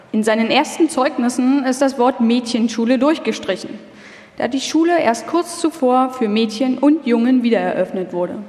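Female narrator, fairly high voice, live documentary script, long hall reverb. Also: darkish tone.

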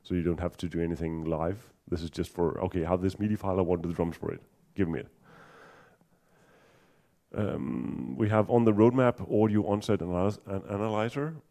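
Low, mellow-voiced Danish man presenting. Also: steady tone, a little fast. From Denmark. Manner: hesitant, many ums and restarts, dry humor. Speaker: conference speaker. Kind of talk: technical conference talk.

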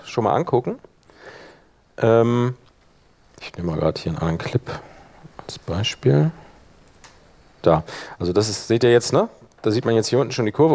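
Guy doing a casual software workshop, kinda thinking out loud as he clicks around. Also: on the low side. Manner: colloquial, thinking aloud while working. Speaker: workshop presenter. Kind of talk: informal live software demonstration.

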